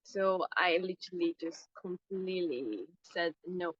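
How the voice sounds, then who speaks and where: A woman from Hungary, speaking as an interview guest on a call, reflective and halting with restarts. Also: on the low side, slightly rough, no room sound, dull audio. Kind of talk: personal conversation on a video call. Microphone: call microphone.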